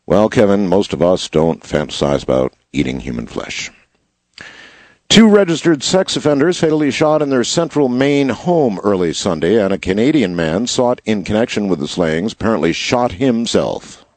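Slightly hoarse man, lively wry delivery. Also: fairly low pitch, normal speed, no room sound. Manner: wry asides while reading headlines. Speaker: show host. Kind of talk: casual news commentary.

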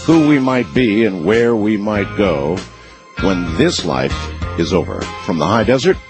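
A man's voice: lowish voice, animated, measured pace, over music. A man hosting a radio call-in show, jumping in with short questions and prompts while taking callers. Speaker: radio talk show host. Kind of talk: late-night radio call-in chat.

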